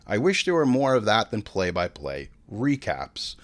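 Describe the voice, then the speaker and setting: Animated low-voiced man hosting a podcast. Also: a little slow. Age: 40s. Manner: animated, slangy storytelling with acted-out quotes. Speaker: podcast host. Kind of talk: casual solo podcast talk.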